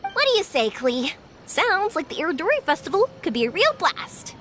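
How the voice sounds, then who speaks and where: Cheerful young woman, game character, high voice, animated, warm, bubbly. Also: moderate pace, a little hiss or hum, heavily acted. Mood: upbeat. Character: cheerful friendly young woman, fireworks maker. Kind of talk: anime-style video game voice acting.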